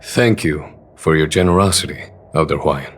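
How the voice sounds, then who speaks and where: An actor playing a calm, gracious and thoughtful man in a video game, speaking politely and deliberately. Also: extremely low pitch. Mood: grateful. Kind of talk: scripted video game voice acting.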